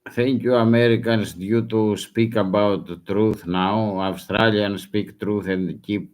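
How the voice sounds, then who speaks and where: A man whose first language is Greek, speaking broken English in a halting, urgent warning with many restarts. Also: fairly low pitch, steady tone, darkish tone. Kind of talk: halting self-recorded warning.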